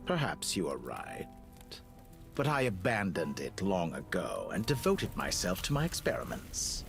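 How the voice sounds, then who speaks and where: An actor playing a cold, cultured villainous scientist in a video game, speaking in eloquent, measured, theatrical phrases. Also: male voice, sits low, huge pitch swings, gravelly, exaggerated voice. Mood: coolly probing. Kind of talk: scripted video game voice acting. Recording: music under the voice.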